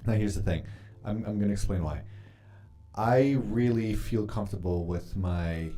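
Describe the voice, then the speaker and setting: A man's voice: lowish voice, normal speed, warm tone. A man whose first language is Polish, chatting casually on a live stream while journaling, rambling and swearing freely as he reads comments. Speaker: livestreamer reading chat.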